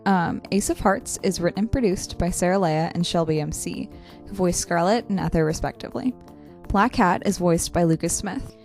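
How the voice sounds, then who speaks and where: A woman's voice: fairly low pitch, darkish tone. A woman podcast announcer reading scripted outro credits to the listeners. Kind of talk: scripted outro over music. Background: music.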